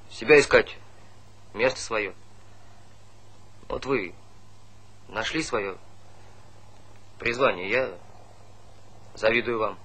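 A man's voice: medium pitch, a bit bright, breathy edge, heavily acted, poor audio. An actor playing an earnest, apologetic young man in a stage play, speaking in short, sincere phrases. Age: young adult. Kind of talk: theatrical stage-play dialogue.

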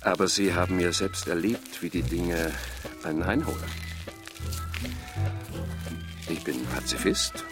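An actor playing an aging, wry pacifist physicist in a radio drama, musing and measured. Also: male voice, low voice, expressive. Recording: radio play with music underneath.

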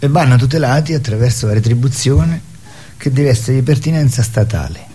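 A man's voice: medium pitch, expressive, fairly quick, warm tone, husky. A man being interviewed as a theatre artist, speaking in an assertive, declarative way in conversation.

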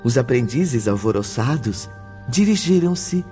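An actor narrating a spiritual tale as a solemn storyteller in a scripted radio drama. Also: male voice, medium pitch, animated, unhurried, dark tone, faint music behind, close to an everyday voice.

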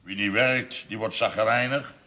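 An actor playing a gruff, bossy rich farmer who rules his family in a TV period drama. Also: lowish voice, steady tone, moderate pace, reverberant. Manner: bossy, forceful.

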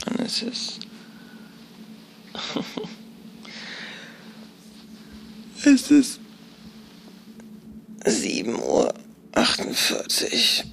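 An actress playing a drowsy woman who dictates her dreams in halting, breathy, croaky murmurs for a radio drama. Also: on the high side, huge pitch swings, a bit bright, a little hiss or hum, exaggerated voice. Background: music.

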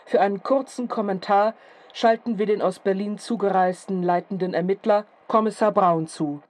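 An actress in a radio play as a sensation-hungry news reporter, announcing in a formal, measured, dramatic manner. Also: female voice, mid-range voice, fairly even intonation, darkish tone, natural voice. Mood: formal, grave.

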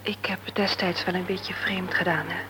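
A woman playing a candid, soft-spoken visitor. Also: on the low side, steady tone, moderate pace, background noise, lightly acted. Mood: confiding. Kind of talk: radio play acting.

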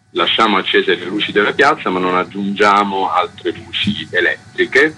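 Theatre director as radio guest: man, deep pitch, conversational, some noise behind him. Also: bright, forceful tone, rough recording. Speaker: theatre festival artistic director, interview guest.

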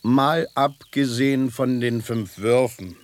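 An actor playing a tough, grumpy, proud old street tomcat in a radio drama, gruff and curt. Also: male voice, medium pitch, slow, dry recording, natural voice. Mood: jealous.

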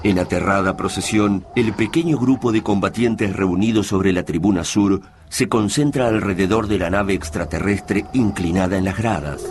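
An actor with an Argentine accent playing the grave narrator of a science fiction war tale in a radio drama. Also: male voice, low-pitched, normal speed, background noise, close to an everyday voice. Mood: grave, ominous. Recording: loud music under the voice.

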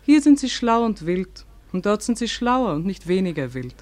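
An actress playing a young, disillusioned daughter in a German radio drama, detached and cynical in manner. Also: female voice, medium pitch, big pitch swings, normal speed, a little mellow, lightly acted. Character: young daughter, disillusioned, coolly wise, lonely.

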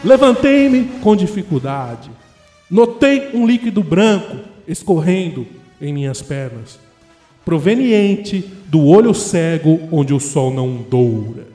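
A man's voice: on the high side, expressive, slow pace, dark tone. A man playing a disoriented, humiliated character giving a solemn first-person monologue in an audio drama. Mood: dazed, solemn. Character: disoriented, humiliated man recounting his grim awakening. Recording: long echoing reverb, music behind.

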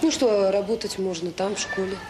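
A woman's voice: mid-range voice, fairly quick, close to an everyday voice. An actress playing a restless, insistent middle-aged woman in a Soviet radio drama. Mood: wistful, resolved. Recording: noisy old radio play recording.

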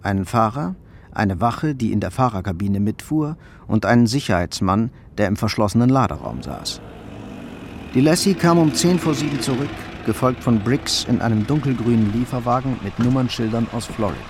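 A man's voice: mid-range voice, animated, moderate pace, background noise. A man reading scripted narration as the storyteller of a radio crime drama.

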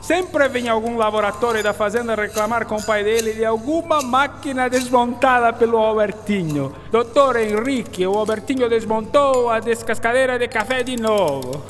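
A man's voice: very high-pitched, moderate pace, few pauses, fairly bright tone, long reverb tail, soft background music. A man playing an eccentric, fond and boastful Italian inventor with an Italian accent, telling stories theatrically in a radio drama. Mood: animated storytelling. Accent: put-on Italian. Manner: theatrical storytelling peppered with Italian words.

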